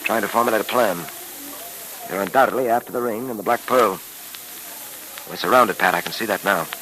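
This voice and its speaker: Shrewd, protective detective, American, authoritative, forceful edge, hissy 1930s radio drama. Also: male voice, on the low side, a little fast, low-quality recording. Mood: tense, guarded. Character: shrewd, steady, protective adult male detective hero. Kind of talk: 1930s radio serial acting.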